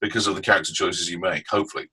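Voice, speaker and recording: A man's voice: low voice, fairly even intonation, very fast, bright, forceful tone, breathy edge. A man, a voice actor, speaking thoughtfully in a casual webinar chat. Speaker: voice actor guest.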